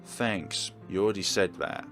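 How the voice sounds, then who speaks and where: A man voicing an awkward, earnest high school protagonist who speaks hesitantly in a visual novel. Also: a bit bright, soft background music. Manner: hesitant, halting, stammering.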